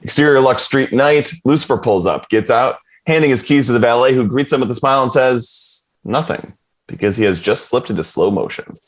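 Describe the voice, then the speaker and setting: A man's voice: medium pitch, brisk. A man reading stage directions as scripted narration for a dramatic table read.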